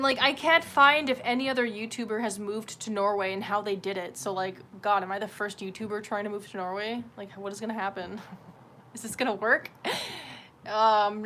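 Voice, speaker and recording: A woman's voice: mid-range voice, fairly bright tone. Female livestream host, mid-range voice, casual and rambling, frank and sweary, self-deprecating, light ambient noise behind.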